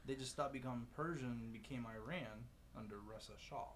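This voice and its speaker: A man chats casually on a podcast in a mid-pitched voice. He is blunt and informal, and faint ambient noise sits behind his words. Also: fairly quick.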